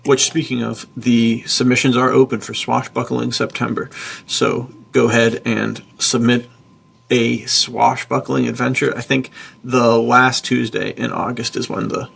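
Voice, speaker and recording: Gravelly, husky man chatting on a podcast. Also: medium pitch, level tone, unhurried, fairly bright tone. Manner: enthusiastic, informal, emphatic. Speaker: podcast host, author and publisher. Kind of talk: casual podcast chat.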